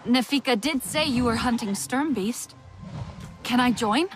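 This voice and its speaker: A woman's voice: fairly high pitch, a little slow, slight background noise, natural voice. A woman playing a young alien seeker in a video game, earnest, unsure and questioning. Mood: tentative. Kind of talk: video game character acting.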